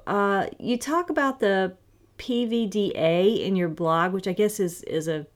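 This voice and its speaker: A woman's voice: mid-range voice, a little slow. A woman conducting an interview, asking brief, plain questions.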